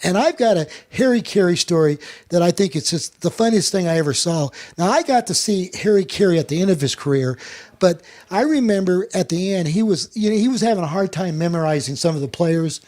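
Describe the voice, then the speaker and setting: A man's voice: high voice, lively intonation, a little fast, a little mellow. A man hosting a sports talk show, telling anecdotes in casual chat and often repeating words as he goes.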